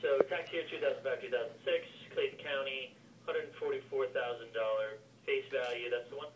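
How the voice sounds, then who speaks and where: A man with a medium-pitched voice walks buyers through an investment in an explanatory way. He sounds set back from the mic on a phone call, with clear background noise. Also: fairly even intonation, brisk, recorded from a distance. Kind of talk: explanatory business phone conversation.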